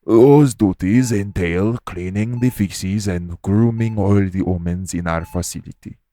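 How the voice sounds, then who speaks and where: A man acting a formal, patient male monster doctor with measured, stern speech in an audio roleplay. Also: low-pitched, warm tone.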